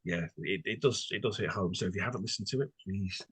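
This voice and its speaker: A man with a very low, rough-edged voice interviewing a guest. He is courteous and halting, feeling his way through his questions. The sound is close and dry. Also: fairly bright tone, no room sound. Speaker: podcast interviewer. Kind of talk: conversational interview.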